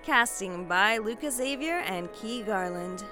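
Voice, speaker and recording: A woman's voice: medium pitch, expressive, a little slow, music in the background. A woman announcer giving a scripted reading of the closing credits.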